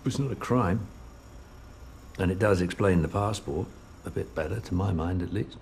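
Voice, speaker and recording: Male investigator, TV drama: low voice, wry, polite, offhand. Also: moderate pace, gravelly, a little mellow, slight background noise. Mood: gently probing. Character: wry, courteous British male investigator making small talk. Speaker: police interviewer. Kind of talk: naturalistic TV drama dialogue.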